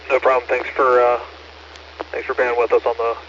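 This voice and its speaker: A man's voice: medium pitch, completely flat delivery, fairly quick. An American man working as radio net control, matter-of-fact and courteous, reading traffic back over a two-way radio. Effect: two-way amateur radio transmission. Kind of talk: procedural two-way radio traffic with read-backs. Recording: muffled noisy two-way radio audio.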